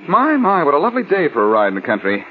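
A man's voice: on the high side, wildly swooping pitch, very fast, faint music behind. An actor playing a cool, wisecracking male adventurer in a dry, flippant 1940s radio style. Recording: muffled old-time radio recording.

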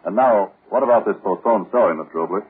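An American man works as a 1940s radio announcer, delivering sponsor copy. His voice is fairly low and very rough-edged. It comes through a thin, muffled old broadcast recording. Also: fast talker.

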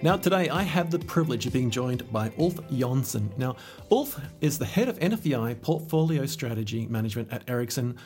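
A man's voice: mid-range voice, animated, a little fast, a little mellow, faint music behind. A man hosting an interview podcast, chatty and enthusiastic, with plenty of fillers as he introduces and questions his guest. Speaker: podcast host. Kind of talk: casual interview chat.

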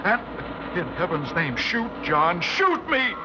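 Terrified captive scientist: extremely high, pleading voice, urgent vintage radio horror, noisy track. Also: male voice, big pitch swings, slow, heavily acted. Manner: urgent, pleading, breathless dread. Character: desperate male scientist held captive by aliens. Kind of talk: 1960s radio drama acting. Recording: noisy, muffled old radio recording.

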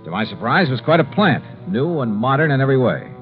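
A man playing a brisk, wry insurance investigator in a 1950s radio drama, speaking in a clipped, breezy way. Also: medium pitch, big pitch swings, faint music behind. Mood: wry, businesslike. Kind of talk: 1950s radio drama acting, first-person narration and dialogue. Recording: dull lo-fi 1950s radio recording.

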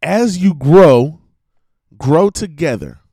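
Slightly hoarse man, high lively voice, preachy ranting monologue, dry close sound. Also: slow pace, no room sound. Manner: emphatic, preachy, swearing, repeats himself. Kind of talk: casual monologue rant.

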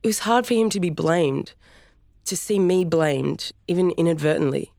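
A woman's voice: on the low side, animated, normal speed, gravelly, darkish tone, no room sound, pitched above the performer's own voice. A woman reads a young woman caught between her brother and father, pleading and upset. The recording is dry and close, with nothing behind her.

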